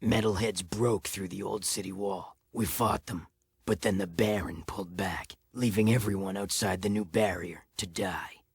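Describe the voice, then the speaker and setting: A man playing a gruff, sardonic, commanding underground resistance leader with a husky voice in a video game. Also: deep voice, fairly even intonation, moderate pace, dry recording, studio quality, exaggerated voice. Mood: grim. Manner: terse, commanding. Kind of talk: video game cutscene acting.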